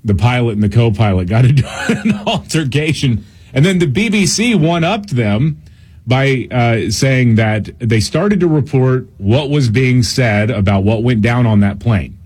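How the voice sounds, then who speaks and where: A man's voice: mid-range voice, expressive, measured pace, a little mellow, breathy edge. A man co-hosting a talk radio show, recapping news in a loose, joking way. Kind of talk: casual talk radio chat.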